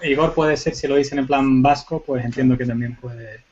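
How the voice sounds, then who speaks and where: A man with a Canarian Spanish accent mixed with Cuban tones, explaining things in a relaxed, chatty way with joking asides on a video call. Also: mid-range voice, moderate pace, lo-fi sound. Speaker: guest panelist, forensic IT.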